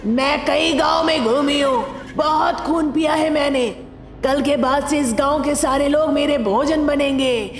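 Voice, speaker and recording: Woman putting on a menacing high-pitched demon witch. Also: roomy sound, in a large hall, a little hiss or hum. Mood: menacing gloating. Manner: menacing, gloating, drawn-out speech. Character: bloodthirsty scheming female cave demon witch.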